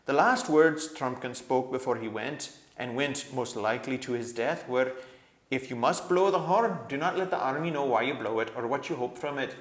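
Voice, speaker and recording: A man's voice: medium pitch, normal speed, reverberant, voice pushed up, lightly acted. A man voicing a loyal talking badger in a storybook, earnest, firm and reproving. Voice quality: thick voice.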